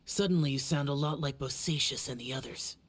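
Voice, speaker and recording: A woman voicing a solemn young male warrior guardian in a video game, speaking in a grave, measured, weary way. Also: very deep voice, a little hiss or hum.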